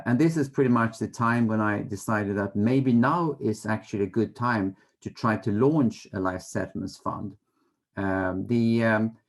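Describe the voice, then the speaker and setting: A middle-aged man with a Swedish accent answers interview questions in a somewhat low voice. He explains things conversationally, in a measured and slightly hesitant way. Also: creaky. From Sweden. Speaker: interview guest, fund manager.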